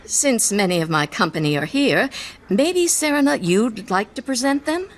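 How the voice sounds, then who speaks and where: A woman's voice: fairly high pitch, big pitch swings, moderate pace. A woman playing a hearty, commanding captain of the guard who proclaims in a radio drama. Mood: formal. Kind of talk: radio theatre acting.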